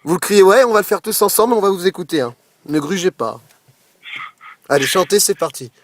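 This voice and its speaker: Male prank caller, high voice, posing as an excitable radio quiz host, pushy and boisterous improvised patter. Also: fairly quick, no echo. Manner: boisterous, pushy, hyped-up exhortations.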